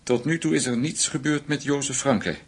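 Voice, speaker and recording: An actor playing a shrewd German intelligence official in a radio drama, speaking formally and deliberately. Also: male voice, mid-range voice, slight background noise, close to an everyday voice. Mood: knowing, grave.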